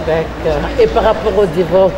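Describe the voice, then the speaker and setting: A street interviewer at a train station asks questions in a halting way, restarting and rephrasing as they go. The voice has a middle pitch. Loud noise fills the background of the recording. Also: a loud crowd.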